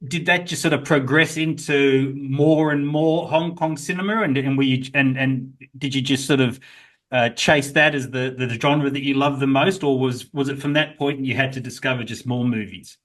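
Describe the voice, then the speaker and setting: A man's voice: mid-range voice, normal speed. A man, from Sydney, interviewing a guest in an encouraging, chatty way. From Sydney, Australia. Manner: warm, encouraging, animated questions. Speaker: interviewer, critics' group president. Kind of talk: casual interview chat.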